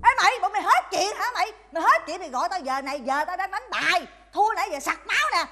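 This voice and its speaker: A woman's voice: very high voice, sing-song, fast talker, a bit bright, exaggerated voice. A woman playing a brash, foul-tempered gambling mother in a comedy sketch, scolding with repeated phrases in a southern Vietnamese accent. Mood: irritated. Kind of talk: broad sketch comedy acting.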